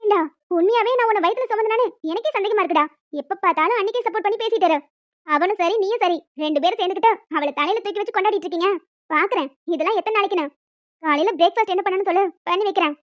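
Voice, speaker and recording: A woman's voice: very high voice, very fast, highs cut off. A woman voicing a nagging, disapproving older mother-in-law who complains and scolds in a cartoon family drama.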